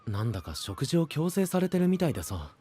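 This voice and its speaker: A man voicing a sensible, gentle young traveler, cautious and mildly exasperated, in an animated audio drama. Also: a little high, fairly quick, slightly rough. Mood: uneasy. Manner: soft-hearted, cautious, questioning. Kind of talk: animated Japanese voice drama acting.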